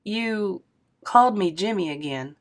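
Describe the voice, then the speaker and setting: A spunky old grandmother from a storybook, sassy and folksy, her voice leaping up high when she gets excited, as a woman reading aloud puts her on. She sounds playful and a little scattered, and she is at middling pitch for a woman. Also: measured pace, lightly acted.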